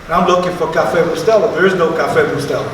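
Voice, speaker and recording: A man's voice: fairly high pitch, rapid-fire, a little hiss or hum. A man moderating a museum panel talk, chatty and conversational, with casual asides. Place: museum event space, live audience. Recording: echoey hall from far off.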